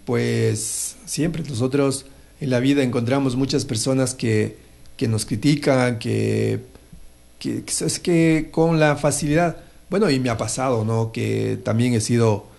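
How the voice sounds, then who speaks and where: Male radio host, gravelly, hesitant storyteller. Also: mid-range voice, a little slow, a little mellow. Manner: hesitant, many fillers and restarts. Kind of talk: casual on-air talk with a moral story.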